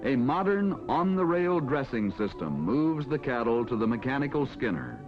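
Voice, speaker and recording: An American man reading scripted narration for a mid-century educational film in a formal, measured announcer style. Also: medium pitch, very animated. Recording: dull vintage film soundtrack with music. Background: background music.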